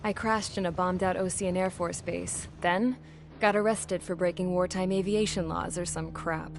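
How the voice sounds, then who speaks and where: A woman's voice: fairly low pitch, fairly even intonation, moderate pace, close to an everyday voice. An actress playing a jaded young pilot telling her war story in blunt, wry, slangy game narration. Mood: wry, bitter. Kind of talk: scripted first-person game narration. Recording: loud music under the voice.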